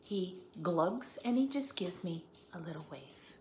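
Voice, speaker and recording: A woman teacher reading a picture book aloud for children, expressively, pausing to put questions to her listeners. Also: lowish voice, expressive, a little mellow. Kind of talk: animated read-aloud for children with asides and questions. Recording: echoey room, faint background noise.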